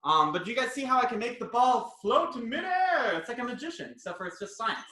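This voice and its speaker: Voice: mid-range voice, sing-song, quick pace, bright, forceful tone. An informal, playful science presenter chatting to children during a live online demonstration, recorded in a roomy indoor space. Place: indoor room, live online video call. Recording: roomy echo.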